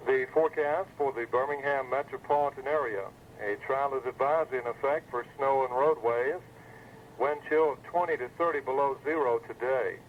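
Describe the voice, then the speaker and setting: A man's voice: medium pitch, a little fast. Male weather radio announcer, scripted forecast read, narrow phone-like 1980s broadcast sound with faint noise. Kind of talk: scripted weather bulletin reading.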